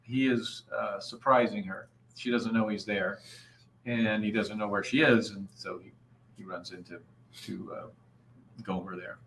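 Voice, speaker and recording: A man's voice: on the low side, steady tone, unhurried, fairly bright tone, a little hiss or hum, rough recording. A man reading stage directions aloud informally at a live table read. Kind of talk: informal live script narration.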